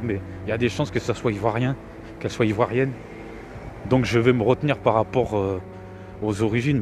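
A middle-aged man with a cold gives rambling, scornful commentary, often repeating his words. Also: mid-range voice. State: has a cold. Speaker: video commentator. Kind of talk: opinionated reaction commentary.

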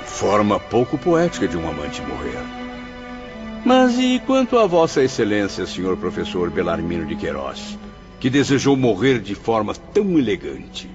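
A man playing a sarcastic, needling gentleman in a radio drama, mocking with exaggerated formality. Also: lowish voice, huge pitch swings, normal speed. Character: Camilo, sarcastic, needling Portuguese gentleman with a difficult temper. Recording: dull lo-fi, music behind.

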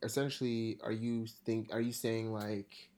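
A woman co-hosting a casual podcast in an extremely low, mellow voice. She is blunt and teasing, swears casually, and repeats words as she gathers her thoughts. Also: steady tone, unhurried. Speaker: podcast co-host.

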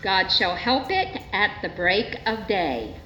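A woman gives a formal scripture reading in a measured, solemn manner at a church service. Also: medium pitch, big pitch swings, a loud crowd. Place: indoors in a church. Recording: echoey church, dull sound, faint noise.

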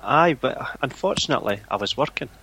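A Scottish man chatting casually on a podcast as the guest, with a flat, even way of talking that he calls boring. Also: mid-range voice, brisk, breathy edge, no room sound. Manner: flat, self-described boring voice. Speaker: forum owner, guest. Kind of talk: casual chat.

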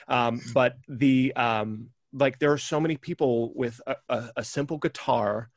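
A man's voice: mid-range voice, a little slow, dry recording. A man hosting a casual music podcast, rambling enthusiastically with lots of fillers. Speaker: podcast host.

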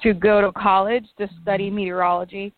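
A woman's voice: mid-range voice, little pitch movement, a little fast, no echo, phone-quality sound. A woman in her thirties from Panama with a Panamanian Spanish accent, a native Spanish speaker chatting as a guest in a radio interview. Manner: friendly, chatty, bubbly. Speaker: guest, meteorologist.

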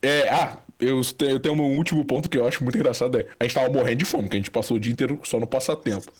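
A man's voice: mid-range voice, rapid-fire, a little mellow, breathy edge. A young man from Brazil telling a long, rambling anecdote in casual chat, full of fillers and restarts. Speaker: storyteller guest.

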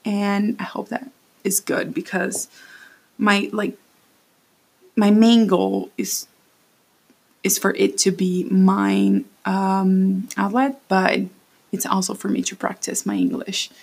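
A woman's voice: mid-range voice, animated, slow pace, creaky, pauses often, slightly rough. A woman in her late twenties from Brazil with a Brazilian Portuguese accent, hosting her own podcast in a rambling, self-conscious way. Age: late 20s. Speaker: podcast host. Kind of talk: casual solo podcast monologue. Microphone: standing at a home mic.

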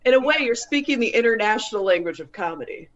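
A woman's voice: on the high side, expressive, quick pace, dull audio. A woman co-host joins the conversation in a casual, chatty manner. She tells short stories about friends in an easygoing way. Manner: anecdotal, chatty. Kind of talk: casual chat.

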